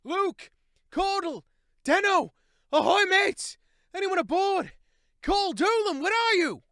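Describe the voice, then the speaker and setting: A man voicing a grieving young sailor mouse who shouts high and strained. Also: sing-song, normal speed, dry recording, studio quality. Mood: desperate.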